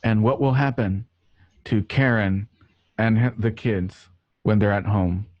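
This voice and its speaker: Halting male host, dry and lo-fi. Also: on the low side, no room sound. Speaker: host and scene narrator. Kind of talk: reading stage directions, hosting an online radio play. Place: home, remote online recording.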